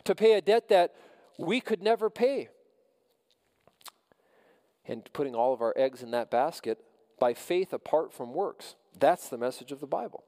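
A man preaching a sermon as pastor, measured and earnest, often repeating phrases for emphasis. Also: fairly high pitch, expressive, dark tone, in a large hall. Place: church.

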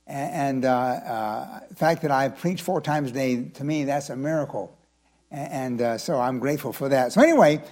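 An older man preaching an evening sermon, his voice a little raspy from strain. He talks folksy and chatty, with loose asides, then climbs into emphatic preaching. His pitch sits a touch higher than most men's. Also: darkish tone. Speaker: pastor.

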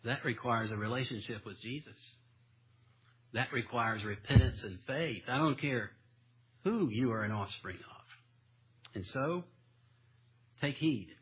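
Husky-voiced preacher with folksy sermon style. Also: male voice, medium pitch, unhurried, long pauses, lo-fi sound. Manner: folksy, self-deprecating preacher with homespun stories. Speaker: pastor. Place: church sanctuary.

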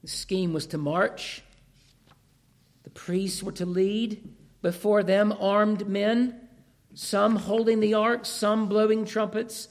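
Slow-paced preacher losing his voice. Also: sits high, fairly even intonation. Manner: measured expository preaching, rhetorical questions. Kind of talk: sermon.

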